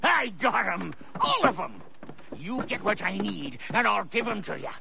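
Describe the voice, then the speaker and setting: Eccentric old seaman, creaky voice, wildly animated, video game character, noisy background. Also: sits high, a little slow, exaggerated voice. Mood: eager, cagey. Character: eccentric excitable old sailor hoarding letters.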